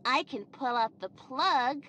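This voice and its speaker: A woman's voice: high-pitched, expressive, measured pace, noisy background. A woman playing a cheery, bossy young girl hosting a children's show in a game, with singsong delivery that can turn sarcastic. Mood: bright, excited. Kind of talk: scripted children's cartoon game acting. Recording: music behind the voice.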